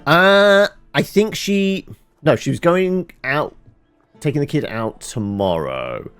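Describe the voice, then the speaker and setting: A man's voice: a little high, big pitch swings, slow pace, a bit bright, smooth. A man hosting a let's play, who rambles and corrects himself as he mutters through his inventory. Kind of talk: casual gameplay commentary. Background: game music.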